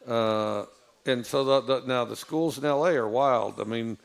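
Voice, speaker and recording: A low-voiced man with a gravelly, creaky edge, telling stories in a relaxed, meandering way. He halts often on fillers and restarts his sentences as he goes. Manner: rambling, halting with many fillers and restarts. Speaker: football coach. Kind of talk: casual storytelling.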